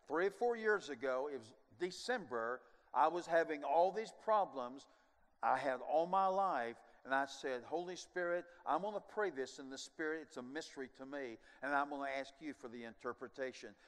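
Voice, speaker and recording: An older man preaching a sermon as pastor on a large church stage, animated and moving, dropping at times to quiet asides. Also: a little high. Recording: long church hall reverb.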